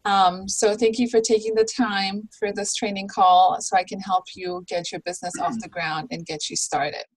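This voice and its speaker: A woman's voice: mid-range voice, flat delivery, normal speed, rough recording. Friendly woman leading a training call. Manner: friendly, instructive, many fillers. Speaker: trainer for new consultants. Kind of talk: training call with screen share. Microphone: video call.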